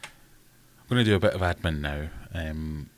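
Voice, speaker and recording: A man's voice: a bass voice, measured pace, slight background noise. A man hosting a casual livestream from home, rambling and self-deprecating as he reads out chat comments. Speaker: livestream host.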